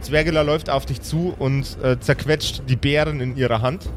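A man's voice: mid-range voice, fairly even intonation, normal speed, faint music behind. A man improvising narration as the game master of a tabletop game. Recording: clear background noise.

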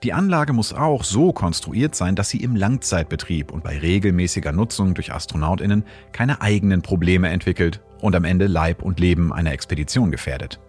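A man hosting a science podcast, giving measured, explanatory scripted narration. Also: low-pitched, lively intonation, a little mellow, slightly rough, soft background music. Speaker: host and astrophysicist.

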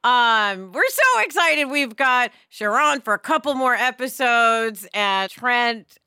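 Woman, podcast co-host, bright forceful voice, slightly high, candid and digressive, dry close sound. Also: lively intonation, slow pace, clear, resonant tone, dry recording. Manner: candid, digressive, self-questioning. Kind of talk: casual reflective podcast discussion.

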